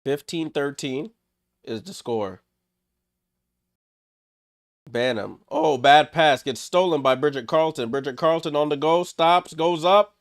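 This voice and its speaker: Male stream host, gravelly and a bit under the weather, clipped live play-by-play with chatty asides to chat, dry room. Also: a little high, unhurried, pauses often, no echo. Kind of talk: casual live play-by-play commentary.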